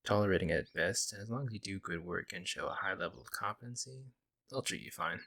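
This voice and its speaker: A man playing a friendly young werewolf, a lone wolf who talks casually and teases gently in an ASMR audio roleplay. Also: low-pitched, quick pace, vocal fry, full character voice. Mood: casual, musing. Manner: casual, hesitant musing, gently teasing. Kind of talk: ASMR audio roleplay, intimate conversational acting.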